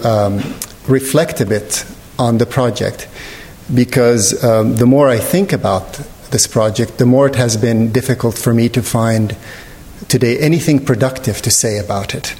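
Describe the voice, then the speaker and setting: A man from Lebanon giving a reflective artist talk, choosing formal words carefully in a measured, searching and hesitant manner. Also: mid-range voice, a little mellow. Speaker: visual artist giving a lecture. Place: large reverberant lecture hall. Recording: echoey hall with long reverb.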